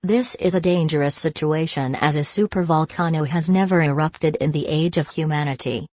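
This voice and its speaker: Woman as a low-pitched TV news anchor, dry sound. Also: normal speed, a little mellow, dry recording, natural voice. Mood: serious, composed. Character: formal female TV news anchor reporting breaking disaster news. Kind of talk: scripted news bulletin.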